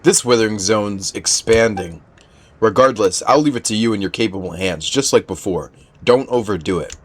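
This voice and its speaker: A man's voice: lowish voice, moderate pace, close to an everyday voice. A man reading game dialogue as a caring, worried young patrol woman, earnest and polite. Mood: earnest, concerned.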